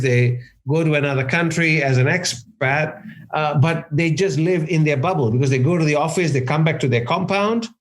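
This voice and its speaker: A man answering interview questions as a cross-cultural consultant, thinking aloud, restarting sentences and checking in with "right?". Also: on the high side. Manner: thoughtful, restarts sentences, often adds "right?".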